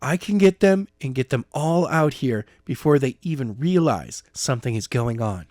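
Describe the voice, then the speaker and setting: A man's voice: medium pitch, animated, moderate pace, darkish tone, no echo, natural voice. Male reader as curt young heroine, firm, dry close sound. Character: sharp young woman, able to teleport. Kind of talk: amateur audiobook reading.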